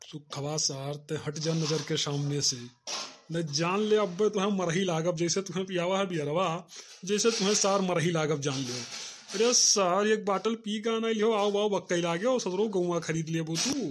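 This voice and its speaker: A man's voice: high voice, moderate pace, noisy background, close to an everyday voice. A man voicing a bossy, exasperated village man in a comic cartoon, scolding bluntly with an Awadhi accent. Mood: angry scolding. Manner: scolding, threatening, rustic and blunt.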